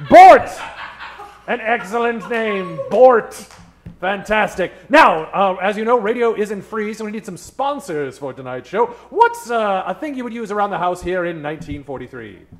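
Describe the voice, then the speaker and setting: Male improv MC, theatrical forties-radio showman, high voice with big swoops, working a live theater stage. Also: sing-song, normal speed. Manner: theatrical, mock-formal showman. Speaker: stage MC warming up audience. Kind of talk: improv comedy hosting in 1940s radio manner.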